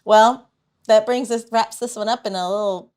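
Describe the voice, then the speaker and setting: A woman's voice: fairly high pitch, steady tone, moderate pace, a little mellow, smooth. A woman co-hosting a podcast in a casual conversational style. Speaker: podcast co-host.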